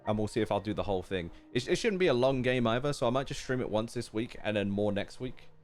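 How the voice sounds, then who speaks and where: A man's voice: mid-range voice, expressive, fast talker, a little mellow, faint music behind. A man live-streaming a game with casual, offhand, joking reaction commentary full of slang.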